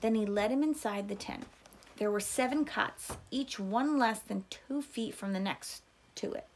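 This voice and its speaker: Woman reading a story aloud, slightly creaky voice. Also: mid-range voice, measured pace, darkish tone, a little hiss or hum. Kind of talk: children's novel read-aloud.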